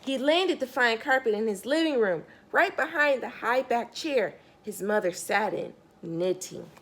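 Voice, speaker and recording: Woman, children's storyteller, fairly high pitch, very lively intonation, expressive read-aloud, emphasised key words, direct address to young listeners. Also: unhurried. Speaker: storyteller reading a picture book to children.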